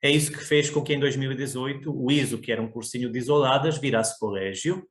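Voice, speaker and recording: A middle-aged man from Portugal with a European Portuguese accent, speaking as a podcast guest in a measured, reflective way. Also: medium pitch. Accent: European Portuguese, Coimbra. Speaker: guest, school coordinator. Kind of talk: conversational podcast interview. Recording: roomy echo.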